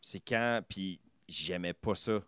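A Franco-Ontarian man in his thirties talks in a low voice as a podcast guest. He chats loosely, trailing off and restarting with fillers. The sound is dry and close, with no room around it. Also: slow, fairly bright tone, clean tone, no echo. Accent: Franco-Ontarian French. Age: 30s. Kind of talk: casual conversation.

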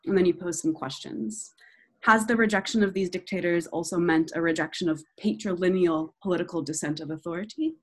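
A young woman with a low-pitched voice leads an academic interview. She reads quotations aloud and frames her questions in a measured, reflective way. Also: rough recording.

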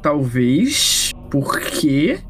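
A woman's voice: low-pitched, sing-song, drawn-out words, pitched below the performer's own voice, full character voice. A guarded young male student who answers in short, evasive bits, as a woman drops her voice to play him. The tone sits well below her own, with faint music underneath. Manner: curt, evasive replies. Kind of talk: playing a character in a tabletop game.

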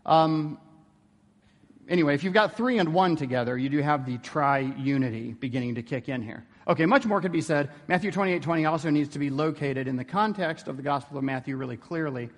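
Guy teaching theology, chatty, lots of "right?" checks, kinda high voice, big echoey hall. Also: fairly quick. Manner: conversational, asks rhetorical questions. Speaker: theology lecturer. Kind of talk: informal classroom lecture.

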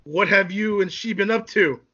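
A man's voice: high-pitched, animated, moderate pace, sharp tone, lo-fi sound, voice pushed up. A man playing a furious, betrayed young warrior turning to evil in an amateur drama, declaiming melodramatically. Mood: furious, accusing.

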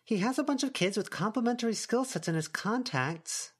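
An inquisitive teenage schoolgirl, put on by a male reader, pitched up and lilting. She probes eagerly, like a character in an audiobook story. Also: lively intonation, brisk, slightly rough. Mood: probing, curious.

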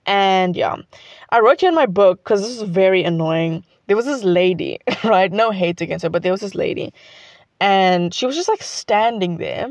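A woman's voice: mid-range voice, lively intonation, moderate pace, dry recording, clean studio sound. A teenage girl from South Africa with a South African accent, Xhosa her first language, chatting casually in a rambling vlog full of "like". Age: teens. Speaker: student vlogger.

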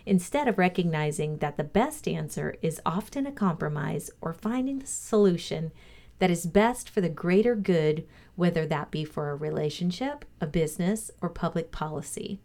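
A woman reading a motivational lesson aloud as a co-host, in a measured, instructive way. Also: lowish voice, expressive, a little mellow.

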